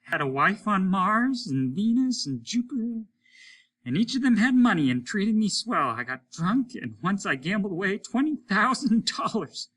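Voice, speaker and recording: Swaggering astronaut for an audiobook, read by a man: self-satisfied, indignant, lifted pitch, sharp edge. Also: sing-song, moderate pace, exaggerated voice. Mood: boastful. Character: boastful carefree male spaceman, indignant, clinging to memories. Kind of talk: dramatic audiobook character reading.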